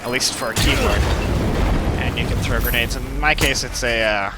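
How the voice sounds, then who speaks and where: A man's voice: medium pitch, moderate pace, loud music behind the voice. A man giving casual let's play commentary, self-deprecating and full of wry asides. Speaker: gaming video host. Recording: clear game noise behind voice. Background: game gunfire and ambience.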